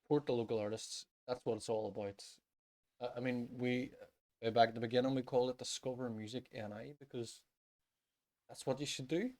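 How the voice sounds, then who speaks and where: A man's voice: medium pitch, fairly even intonation, brisk, a little mellow. Man, Northern Irish accent, mid-range voice, podcast host, casual unscripted chat. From Northern Ireland.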